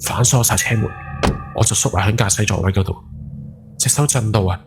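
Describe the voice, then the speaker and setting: A man's voice: lowish voice, brisk, lightly acted. A man playing a guilt-ridden night taxi driver in his forties, telling a horror story in Hong Kong Cantonese with brooding, dramatic emphasis. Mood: shaken, tense. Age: 40s. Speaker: ghost story podcast narrator. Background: background music.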